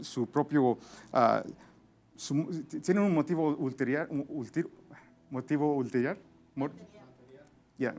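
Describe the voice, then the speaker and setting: Male preacher, slightly high voice, halting bilingual delivery with frequent restarts. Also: darkish tone. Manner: halting, many restarts and fillers, searching for Spanish words. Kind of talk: sermon.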